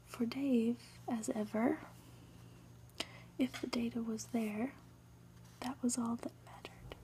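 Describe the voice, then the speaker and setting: A woman whispers a story in an ASMR style, hushed and breathy. Her delivery is slow and deliberate, with a soft, dark tone and a slightly high pitch. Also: fairly even intonation, a little hiss or hum, rough recording. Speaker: host reading a graphic novel aloud.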